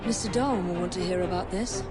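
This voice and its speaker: An actress as a brisk adventurer, loud music. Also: female voice, fairly low pitch, close to an everyday voice. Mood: stern. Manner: brisk, authoritative, dry. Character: brisk, commanding young British adventurer. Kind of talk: video game cutscene acting. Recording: loud music and street sound behind.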